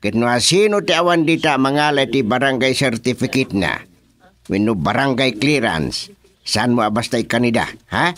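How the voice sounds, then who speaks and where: A man's voice: mid-range voice, animated, normal speed, a bit bright, close to an everyday voice. A man with an Ilocano accent playing a bossy village chairman in a radio drama, speaking with authority. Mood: firm. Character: bossy middle-aged male village chairman.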